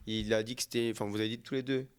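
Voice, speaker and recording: A man's voice: mid-range voice, little pitch movement, clean tone. A man co-hosting a podcast, giving opinionated takes on football matches in casual chat. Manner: quick, opinionated, venting. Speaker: podcast co-host.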